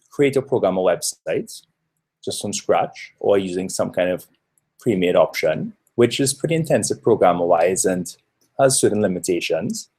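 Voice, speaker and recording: Deep husky-voiced man from Trinidad presenting. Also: a little mellow. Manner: earnest, explanatory, with frequent fillers. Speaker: researcher presenting a talk. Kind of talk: conference presentation.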